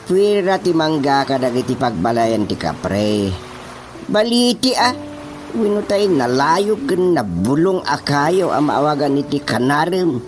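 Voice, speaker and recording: A man with a Filipino accent playing an excitable, dismissive rural father in a radio comedy drama. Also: a little high, measured pace. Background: music.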